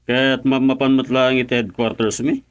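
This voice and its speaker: A scheming town mayor from a radio comedy drama, played by a man. His voice is medium in pitch, and he comes across as pushy and calculating. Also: flat delivery, normal speed, smooth, lightly acted. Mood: pushy, needling.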